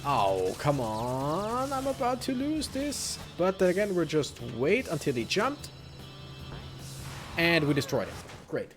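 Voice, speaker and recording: A man's voice: fairly high pitch, huge pitch swings, slow. A man giving casual, rambling let's play commentary with wry asides. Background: game music.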